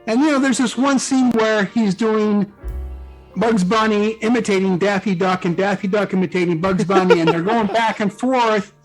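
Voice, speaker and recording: A man's voice: high voice, steady tone, normal speed, rough recording. A man speaking as a guest author in a casual, colloquial chat, often checking in with his listeners. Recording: background music under the voice.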